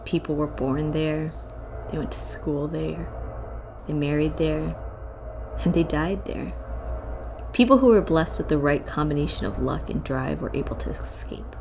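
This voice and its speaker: A woman's voice: low voice, a little slow, creaky, music in the background. A woman playing a young college student of the occult, narrating her story in a wry, world-weary, confiding way for an audio drama. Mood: somber, confiding. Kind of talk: first-person scripted audio drama narration.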